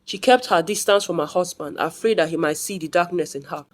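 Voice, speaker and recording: A woman's voice: lowish voice, a little fast. A woman reading a scripted folktale as a storyteller.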